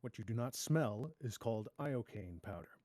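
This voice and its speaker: A man playing a composed, clever masked adventurer in a table read, speaking in a measured, polite, cool way. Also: on the low side, animated, warm tone, no echo, clean studio sound, natural voice. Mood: calm, confident. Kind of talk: theatrical table-read performance.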